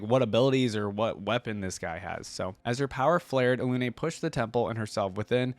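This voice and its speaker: A man with a fairly low voice hosts a reaction video. He moves between reading story text aloud and casual, off-the-cuff commentary. The sound is dry and close, with little room echo.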